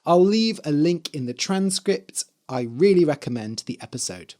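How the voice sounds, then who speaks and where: A podcast host and English teacher with a slightly hoarse, dry voice, explaining things clearly and carefully for language learners. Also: mid-range voice, animated, normal speed, dark tone. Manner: careful enunciation, spelling words out letter by letter.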